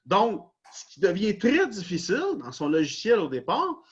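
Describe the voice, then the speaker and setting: A man's voice: high voice, huge pitch swings, moderate pace, slightly rough. A man with a Québécois French accent hosting a podcast, explaining at length and leaning on repeated stock phrases. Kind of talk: casual discussion. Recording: dull lo-fi recording.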